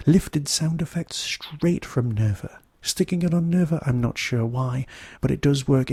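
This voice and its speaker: Male podcast reviewer, gravelly low-key warmth in the tone, dry close recording, wry emphatic delivery. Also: dry recording. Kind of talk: solo podcast review monologue.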